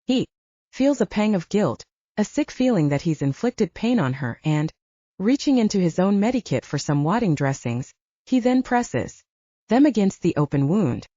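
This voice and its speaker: Mellow, expressive female audiobook narrator. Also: mid-range voice, normal speed, no room sound, dull audio. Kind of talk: scripted dramatic fiction narration.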